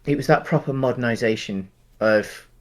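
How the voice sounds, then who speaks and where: A man's voice: mid-range voice, moderate pace. Hesitant man, casual film chat. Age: late 30s. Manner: hesitant, rambling, thinking aloud. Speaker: podcast co-host reviewing films.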